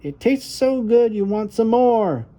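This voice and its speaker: A man's voice: very high voice, animated, a little slow, dark tone, pitched above the performer's own voice. A man voicing an earnest, determined little girl in a children's storybook read-aloud. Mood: eager, explaining.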